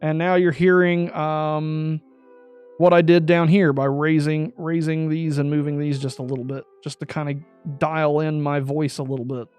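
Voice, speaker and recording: High-voiced man walking through settings. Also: level tone, normal speed, a little mellow, clean tone, soft background music. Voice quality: describes his own voice as high-pitched. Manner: talks through steps aloud, corrects himself mid-sentence. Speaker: streamer explaining audio setup. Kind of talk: step-by-step tutorial walkthrough. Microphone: USB desk microphone.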